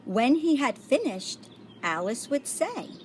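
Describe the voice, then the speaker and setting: A woman reading a picture book aloud to children in a deliberate way, like a volunteer storyteller. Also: high voice, sing-song, darkish tone, slight background noise. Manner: deliberate, pauses to point out pictures.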